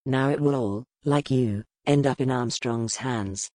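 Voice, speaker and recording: A woman voicing a grim, powerful male duke, speaking low, measured and brooding in an audiobook. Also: dark tone, dry recording, studio quality. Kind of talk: audiobook character voice.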